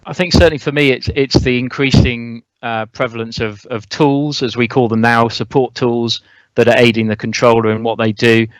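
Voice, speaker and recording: Smooth, even-toned man explaining his work. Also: mid-range voice, moderate pace, no echo, rough recording. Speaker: air traffic controller, interview guest. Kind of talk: explanatory conversation.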